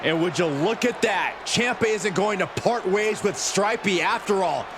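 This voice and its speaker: A man's voice: sits high, lively intonation, moderate pace, noisy background. A man playing an easily appalled wrestling commentator in a video game, giving emphatic, incredulous colour commentary. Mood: concerned, disapproving.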